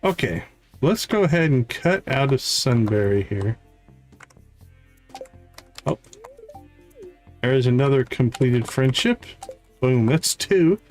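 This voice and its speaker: A man's voice: medium pitch, expressive, takes it slowly, pauses often, a little mellow. A man hosting a game livestream, thinking aloud in casual, chatty commentary as he plays. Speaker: livestream host playing a video game. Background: game music.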